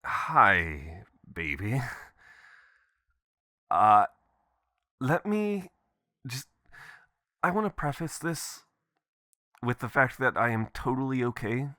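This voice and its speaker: A man's voice: medium pitch, slow pace, pauses often, no echo, clean studio sound, heavily acted. A man playing an adventurous, affectionate boyfriend leaving casual, playful voicemails in an intimate roleplay style. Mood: sheepish, amused. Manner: casual, expressive, chuckling, swearing. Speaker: audio performer.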